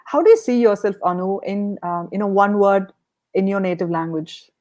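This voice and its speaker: A woman with a medium-pitched voice hosts a podcast interview. She speaks conversationally, putting questions to her guest in an encouraging, appreciative way. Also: moderate pace, a little mellow.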